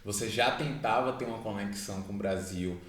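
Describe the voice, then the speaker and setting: Male podcast host, relaxed chatty questions, short agreeing replies, echoey room. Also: on the low side, fairly quick, over a noisy crowd. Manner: relaxed, affirming with frequent "massa" and "entendi". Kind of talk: casual interview conversation.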